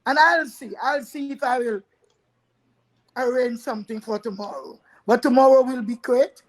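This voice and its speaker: A woman's voice: on the high side, normal speed, low-quality recording. A woman hosting a live talk show, chatty, addressing viewers and repeating words as she goes. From Trinidad.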